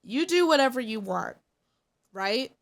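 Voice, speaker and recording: Woman streamer, slightly high voice, stuffy nose, frank and opinionated casual chat. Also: animated, measured pace, gravelly. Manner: blunt and opinionated. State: congested.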